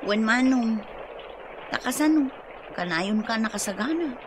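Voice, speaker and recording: A nagging, exasperated Ilocano wife and mother in a radio drama. Her voice is high, with clear background noise around it. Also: animated, measured pace. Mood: troubled. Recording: noisy ambience behind the voice.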